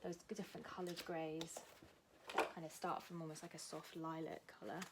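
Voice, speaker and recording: Kiwi woman showing an art lesson, lowish voice, chatty with lots of ums, goes soft and quiet while drawing. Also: flat delivery, normal speed, very noisy. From New Zealand. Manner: casual, many fillers, talks while drawing, often drops to a soft low voice. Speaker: art tutor. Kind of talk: art demonstration tutorial.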